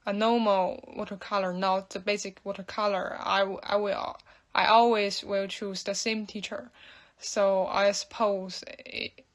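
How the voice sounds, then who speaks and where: A young woman from mainland China with a Chinese accent, answering casually and haltingly as she searches for words. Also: medium pitch. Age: young adult. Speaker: student. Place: indoor room.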